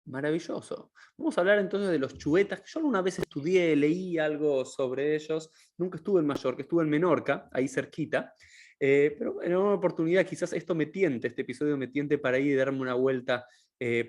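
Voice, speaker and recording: A chatty male podcast host, interviewing a guest casually. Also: fairly high pitch. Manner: chatty, uses voseo.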